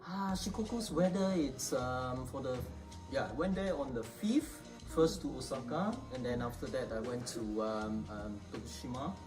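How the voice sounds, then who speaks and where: A man from Singapore with a Singaporean accent, a guest in a casual chat who talks in a relaxed way with many fillers. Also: medium pitch, normal speed. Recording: distant mic with music behind.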